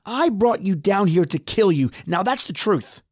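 A man's voice: high voice, expressive, normal speed, no room sound, studio quality. A man playing a desperate, injured husband trapped underground in a horror radio drama. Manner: pleading, ragged, then defiant.

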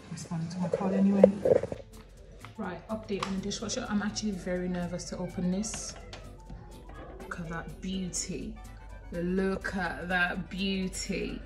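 A young woman chatting casually and expressively as she cooks in her home kitchen, filming on a handheld phone. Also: medium pitch. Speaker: cooking vlogger. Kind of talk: casual cook-along vlog chat. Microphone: phone held in hand. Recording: music playing underneath.

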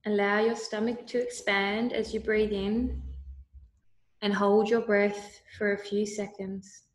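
A woman leading a guided meditation with calm, soothing, deliberate instructions. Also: medium pitch. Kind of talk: slow guided meditation narration. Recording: roomy, echoey voice recording.

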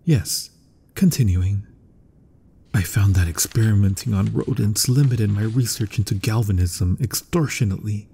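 A man playing a proud, obsessive mad scientist in a gothic horror ASMR roleplay, husky and breathy, speaking formally and theatrically and often dropping toward a whisper. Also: on the low side, normal speed, dark tone, full character voice. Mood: proud, measured.

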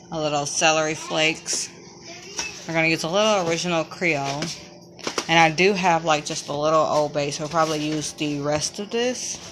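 Low-voiced woman cooking at home. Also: background noise. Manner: relaxed, chatty, frequent ums while cooking. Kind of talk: casual cook-along narration. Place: home kitchen at the stove.

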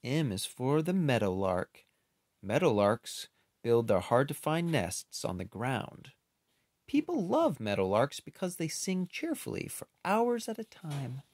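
Unhurried, lively man reading aloud. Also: medium pitch. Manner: expressive, unhurried, with playful asides. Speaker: picture book reader. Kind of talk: children's book read-aloud with casual asides.

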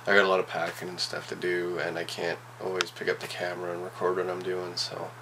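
A man's voice: low-pitched, monotone, quick pace. A man in his twenties with a Canadian accent, chatting casually as a vlogger in a laid-back, slangy, rambling way into a camera mic. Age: 20s. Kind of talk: casual vlog chat. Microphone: camera's built-in mic, handheld or at arm's length. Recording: noisy handheld camera audio, vehicle and ambient noise.

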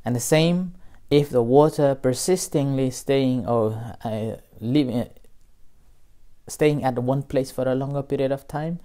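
Slow, soft-spoken man giving a dharma talk. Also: mid-range voice. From Nepal. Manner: slow, gentle, with pauses and fillers. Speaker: meditation teacher. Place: small room indoors.

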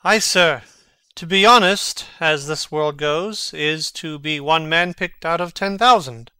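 Man acting mocking young prince, forceful, clear, theatrical, brooding, Shakespearean drama, dry studio sound. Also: a little high, measured pace, dry recording. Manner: sardonic, theatrical. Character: mocking prince feigning madness, brooding and sardonic.

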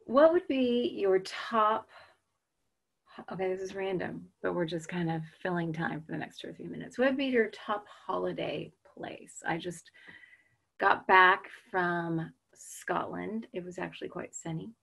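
A woman's voice: fairly low pitch, unhurried, vocal fry, a bit bright, slightly rough. A woman in her forties with an American accent, chatting casually on a video call webinar and reading out audience answers. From United States. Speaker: webinar presenter. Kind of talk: casual chat while waiting to start. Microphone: video call webcam.

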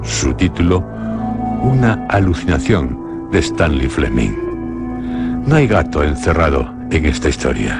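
A man's voice: rumbling low, very animated, a little slow. A man narrating a scripted radio suspense drama. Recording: loud music under the voice. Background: loud music.

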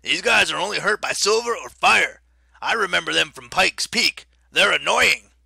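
High loud blustering satyr coach. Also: male voice, expressive, normal speed, vocal fry, slightly rough, no room sound, full character voice. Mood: gruff. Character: gruff excitable satyr coach, shouting.

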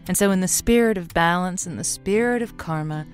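A woman's voice: lowish voice, fairly quick, faint music behind, natural voice. An actress playing an earnest, folksy woman who hosts a radio dating-advice show, chatty and playful. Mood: folksy showmanship. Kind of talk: audio drama acting as late-night radio host.

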